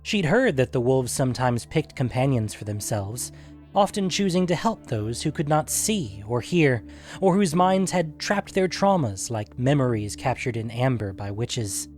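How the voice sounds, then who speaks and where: A man's voice: medium pitch, sing-song, a little slow, darkish tone. Man narrating a fantasy story over music.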